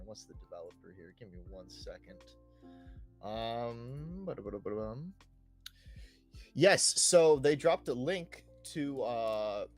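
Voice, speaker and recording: A man hosts a podcast in a casual, conversational way. His voice sits at an ordinary middle pitch, and he is chatty, peppering his talk with little check-in tags. Faint music plays behind him. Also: a little mellow. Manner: chatty, frequently tagging sentences with "you know" and "right". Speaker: podcast host.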